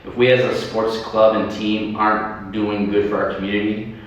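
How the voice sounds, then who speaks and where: Man, animated, restarts a lot, distant mic, very echoey. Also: on the low side, moderate pace. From United States. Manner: animated, laughing, many restarts. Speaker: sports club leader and guest. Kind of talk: livestream interview. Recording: echoey room from afar, faint noise.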